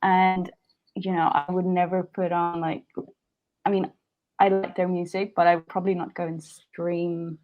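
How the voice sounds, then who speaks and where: A woman's voice: fairly low pitch, flat delivery, moderate pace, phone-quality sound. Chatty young woman from Sweden. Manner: chatty, many likes and restarts. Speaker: singer-songwriter guest. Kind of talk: casual interview chat.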